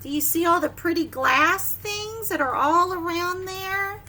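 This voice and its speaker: A woman teacher doing an animated story time for young children, sing-song and exclaiming, often putting questions to them. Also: very high-pitched, a little slow.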